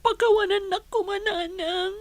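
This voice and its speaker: High-voiced distressed young woman. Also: steady tone, moderate pace. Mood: tearful, ashamed. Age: young adult. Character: distressed pregnant young woman, pleading and ashamed. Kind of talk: Ilocano radio drama acting.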